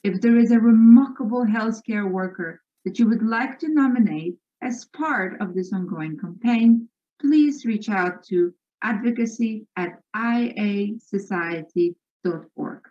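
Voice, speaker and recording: A woman in a small home room speaks into her laptop webcam, reading a prepared campaign presentation at a measured pace. Her voice sits mid-range, and the video-call audio is dull and muffled. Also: medium pitch, warm tone. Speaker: project manager presenting a campaign.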